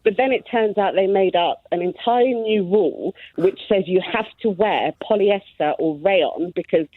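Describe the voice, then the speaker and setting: A woman's voice: medium pitch, moderate pace, dry recording. A woman in her thirties, born in Somalia, calling in to a radio phone-in and telling her story earnestly. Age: 30s. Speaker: caller. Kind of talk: phone-in call. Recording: tinny phone line.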